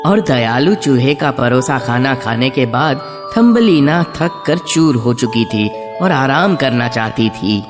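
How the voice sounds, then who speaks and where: A man tells a scripted children's story as narrator. His intonation is very animated, with long reverb on the voice and clear music underneath. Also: medium pitch, a little slow, few pauses.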